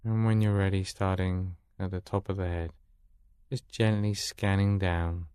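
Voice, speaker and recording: Male meditation guide: deep register, dry close sound, calm soothing instruction, unhurried gaps between phrases. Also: smooth, no echo.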